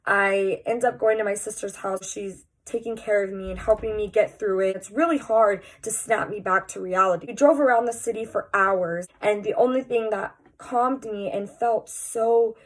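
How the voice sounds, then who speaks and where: A woman's voice: medium pitch, normal speed, hardly any pauses. A young woman with a stuffy, slightly nasal voice from a cold, speaking candidly and earnestly to her viewers in a personal vlog. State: congested, sounds nasal from a cold. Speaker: vlogger.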